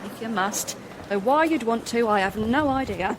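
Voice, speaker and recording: A callous ward sister played by an actress in a radio drama. She is high-pitched, brisk and officious, full of disdain, with clear noise behind her. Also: expressive, close to an everyday voice. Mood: disgusted, dismissive. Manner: officious, scolding. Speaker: hospital nurse in charge. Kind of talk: theatrical radio drama acting.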